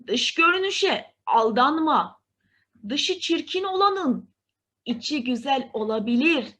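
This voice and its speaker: A woman voicing a wise old woman from a fairy-tale dream, giving measured advice. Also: high-pitched, expressive, sharp tone, exaggerated voice. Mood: advising.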